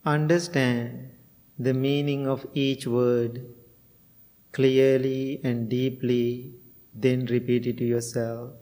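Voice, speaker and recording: Slow, gentle monk from Sri Lanka. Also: male voice, medium pitch, level tone, big-room sound. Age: middle-aged. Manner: slow, gentle, with frequent pauses and fillers. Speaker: Buddhist monk and meditation teacher. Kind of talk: guided meditation and dharma talk.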